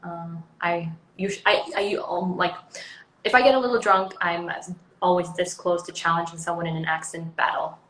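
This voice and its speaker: A woman's voice: on the low side, steady tone, moderate pace, fairly bright tone. Swedish woman chatting, echoey room. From Sweden. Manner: chatty, rambling, frequent um and like. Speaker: actress guest. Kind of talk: casual interview chat.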